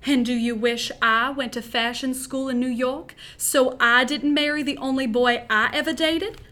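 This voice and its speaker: A woman's voice: sits high, steady tone, moderate pace, sharp tone. A woman voicing a young Southern bride-to-be, 24, with a put-on American Southern accent, exclaiming and playfully scolding.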